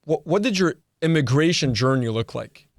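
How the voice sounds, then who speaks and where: A man's voice: fairly high pitch, lively intonation, moderate pace, no echo. A man from Quebec with a Quebecois French accent, hosting a podcast in a loose, chatty way with plenty of fillers and easy laughs. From Quebec, Canada. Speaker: podcast host. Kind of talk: casual interview chat.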